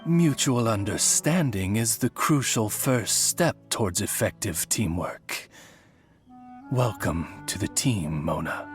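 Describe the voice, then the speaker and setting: A man voicing a formal, courtly attendant in an anime video game, speaking in a measured way. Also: lowish voice, animated, faint music behind. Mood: approving.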